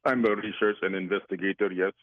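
A man's voice: low-pitched, steady tone, fast, close to an everyday voice. A man disguising himself with a put-on Greek accent, giving short, evasive answers as a mystery guest on a call-in radio show. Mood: coy. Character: male caller with a fake Greek accent. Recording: tinny phone line.

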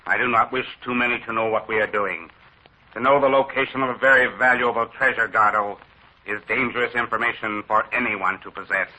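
A man's voice: lowish voice, steady tone, sharp tone, lo-fi sound. An actor playing a cold, formal, scheming male villain leader in a 1940s radio drama. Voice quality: measured, formal, menacing.

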